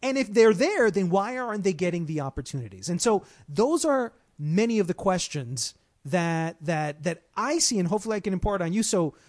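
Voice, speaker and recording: A man with a notably high voice hosts a relaxed talk. He speaks animatedly and circles back over repeated words while he gathers his thoughts. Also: a little fast. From United States. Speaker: host. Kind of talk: casual chat.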